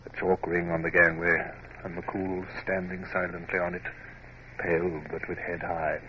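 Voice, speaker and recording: Naval officer memoirist male actor, low register, formal, literary and reflective, dull noisy old radio-drama sound. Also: flat delivery, moderate pace, lightly acted. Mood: sombre. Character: reflective, scrupulous young male Royal Navy officer narrating memoirs. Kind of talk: dramatic first-person radio drama narration.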